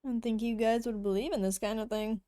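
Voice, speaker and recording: A woman's voice: a little high, fairly even intonation, fast, warm tone, no room sound, clean studio sound. A woman game streamer giving casual reactions as she plays.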